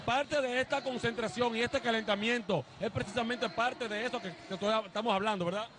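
A man in his thirties with a Dominican accent, reporting live and loudly into a handheld mic on an outdoor basketball court. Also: very high voice. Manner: loud, energetic, drawn-out emphasis. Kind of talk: live on-location TV interview. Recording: noisy crowd outdoors.

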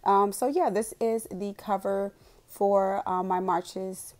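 A young woman with a raspy, hoarse voice from a cold, chatting casually in a voiceover while setting up her monthly budget planner, rambling with lots of fillers. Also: medium pitch, steady tone, takes it slowly, a little mellow. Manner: chatty, rambling, with frequent "um" and laughs. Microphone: voiceover recorded separately from the footage.

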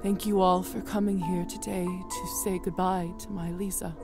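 A woman playing a middle-aged, grief-stricken mother in a game drama, halting and solemn, with a voice close to breaking. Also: lowish voice, flat delivery, music in the background, natural voice. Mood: sorrowful. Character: heartbroken mother burying her daughter.

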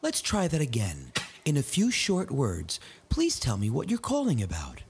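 A performer voicing a polite automated phone menu voice with a flat, over-polite robotic delivery. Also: male voice, medium pitch, moderate pace, echoey room, faint music behind, voice pushed down.

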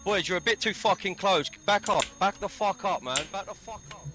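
High-voiced aggressive young man. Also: brisk, over music. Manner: aggressive, sweary, commanding. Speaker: player acting as an armed robber. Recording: game voice chat with busy crowd noise.

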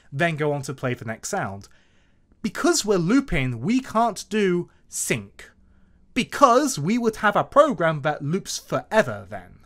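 A tutorial host narrating a scripted programming lesson step by step in an instructional manner. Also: mid-range voice. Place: voice-over to a screen recording.

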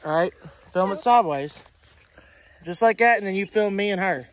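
A man's voice: high voice, normal speed, noisy background. A man in his thirties with a Kentucky accent, patiently talking kids through fishing at a farm pond, recorded on a handheld phone outdoors. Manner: patient, encouraging, coaching kids step by step. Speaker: fishing vlogger, uncle. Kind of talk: casual outdoor vlog. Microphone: handheld phone camera.

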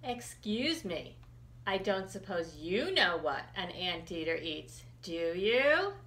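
Woman voicing a bright, polite, curious storybook anteater. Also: fairly low pitch, slow, heavily acted. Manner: courteous, questioning, animated. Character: polite, earnest, hungry anteater in a picture book. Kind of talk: storybook read-aloud.

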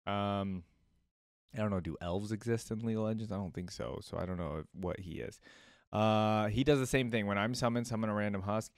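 A man's voice: on the low side, fairly quick, no room sound. Young man reacting, dry close sound. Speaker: reaction video host. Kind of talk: casual reaction commentary, sometimes reading cards aloud.

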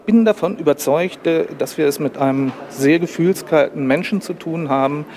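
A man in his sixties answering a TV interview in a halting, emphatic way in a corridor. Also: on the high side, darkish tone. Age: 60s. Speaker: interviewee, victim's husband. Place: courthouse corridor.

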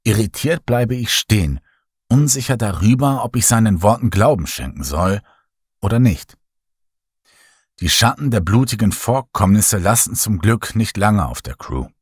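A man narrating a horror story in the first person, dramatic and lively. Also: fairly low pitch, normal speed, slightly rough, no room sound, clean studio sound. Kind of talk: horror audiobook reading.

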